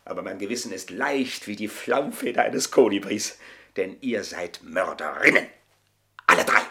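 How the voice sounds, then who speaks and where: Creaky, mock-polite scheming manservant. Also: male voice, fairly low pitch, moderate pace, pushed and bright. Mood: triumphant. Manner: pompous, mock-polite, savouring, theatrical. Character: scheming, gloating manservant, mock-deferential blackmailer and poisoner. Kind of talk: German radio play acting.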